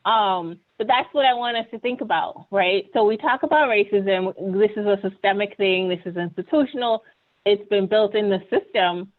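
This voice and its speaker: A woman's voice: mid-range voice, normal speed. Woman on a tinny video call. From Ghana. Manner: earnest, often ends points with "right?". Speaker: webinar panelist. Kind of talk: conversational presentation.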